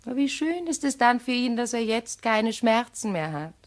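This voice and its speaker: An actress in a radio play playing an imperious middle-aged widow, genteel, measured and coolly sardonic. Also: female voice, on the high side, sing-song. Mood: sardonic wistfulness.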